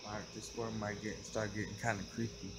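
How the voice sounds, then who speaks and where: A man's voice: fairly low pitch, flat delivery, fairly quick. A young American man chatting casually in slangy, profane talk, picked up off camera outdoors at night. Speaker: companion on a ghost hunt. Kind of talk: casual chat. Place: outdoors at night around a train station. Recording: very noisy outdoor night recording with insects.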